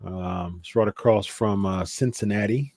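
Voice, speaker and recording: A man's voice: lowish voice, moderate pace, darkish tone, no echo, studio quality. A man hosting a live watch-party stream, casually chatting and reading viewer comments aloud with lots of fillers. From Ohio, USA.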